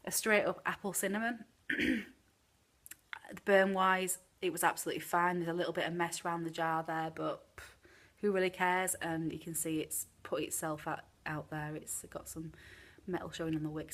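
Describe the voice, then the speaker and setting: A woman's voice: fairly low pitch, fairly even intonation, fairly quick, a bit bright. Chatty British woman, slightly chesty. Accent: British English. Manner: chatty, frank, many ums. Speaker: candle reviewer. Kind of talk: casual product review. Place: home room with candles burning.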